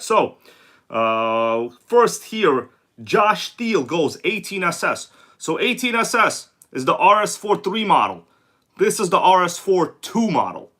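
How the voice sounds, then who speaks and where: A man's voice: on the high side, pushed and bright. A man hosting a casual livestream, chatty and slangy, greeting viewers by name, with a sore throat and a congested voice. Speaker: livestream host. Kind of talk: casual live-stream chat.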